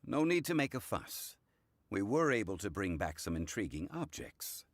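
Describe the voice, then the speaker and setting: A man voicing a composed, refined scholarly gentleman in a video game, speaking formally and with measured dignity. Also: on the low side, big pitch swings, no echo. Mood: measured, mildly regretful.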